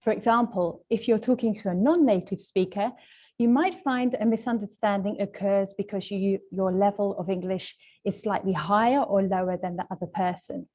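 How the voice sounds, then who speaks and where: Mellow-voiced woman presenting a webinar. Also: mid-range voice, moderate pace. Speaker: webinar presenter, language trainer. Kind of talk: webinar lesson, semi-scripted presentation.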